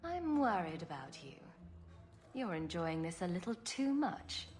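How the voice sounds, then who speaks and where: A woman's voice: lowish voice, sing-song, a little slow, a little mellow. A woman playing a confident, sardonic champion adventurer in a video game. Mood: assured. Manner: sardonic, self-assured. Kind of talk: video game cutscene acting.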